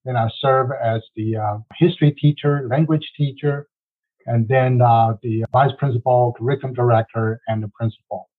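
A man's voice: medium pitch, fairly even intonation, moderate pace. Hesitant older man on tinny line. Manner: hesitant, many uh fillers. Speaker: panelist, community school principal. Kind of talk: conversational panel answer. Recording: tinny phone-like call audio.

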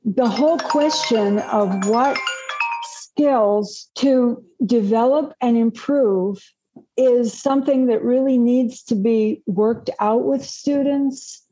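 A woman, a language-education specialist, answering panel questions in a measured, earnest way. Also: on the high side, mellow, breathy edge, soft background music. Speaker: applied linguistics specialist, panelist. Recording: dull lo-fi video call audio.

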